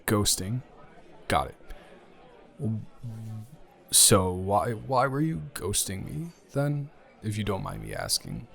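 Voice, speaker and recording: A man performs a puzzled, coaxing male lover in an intimate improvised audio drama. His voice sits low with a crackly fry. He talks slowly and haltingly, hedging and restarting as he feels his way along. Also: big pitch swings, fairly bright tone, exaggerated voice. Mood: guarded, wry. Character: confused, jealous male friend with benefits, hesitant and coaxing.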